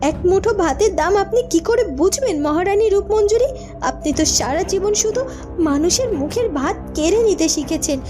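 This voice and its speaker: A woman's voice: extremely high pitch, lively intonation, moderate pace. A woman playing a righteous, dignified young princess in a fairytale cartoon, speaking with earnest, dramatic reproach. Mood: reproachful. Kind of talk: melodramatic fairytale cartoon acting. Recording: music under the voice.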